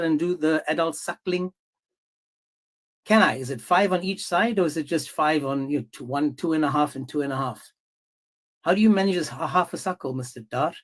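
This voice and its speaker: A male live-stream host with a slightly high-pitched voice. He taunts and badgers his guests, cutting in over them in a confrontational way. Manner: mocking, confrontational, talks over others. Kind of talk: heated live-stream debate.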